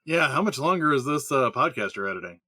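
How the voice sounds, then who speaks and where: A man's voice: medium pitch, very animated, quick pace, pushed and bright, no echo, clean studio sound, full character voice. A man playing an impatient, sneaky gnome sidekick in a comedic audio skit.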